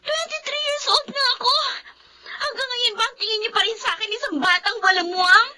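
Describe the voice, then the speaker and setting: A woman's voice: extremely high pitch, a little fast, heavy background noise. A woman playing a hurt, defiant blind sister of twenty-three in a Filipino radio drama, speaking with tearful, emphatic intensity. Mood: tearful, indignant. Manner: tearful, emphatic, pleading outbursts. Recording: music bed behind the voice.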